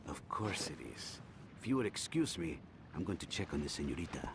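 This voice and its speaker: An actor playing a smooth, quietly menacing older Colombian drug lord in a video game, courteous and measured. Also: male voice, sits low, sing-song, a little hiss or hum. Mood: suave, threatening. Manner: courteous, measured, veiled threat.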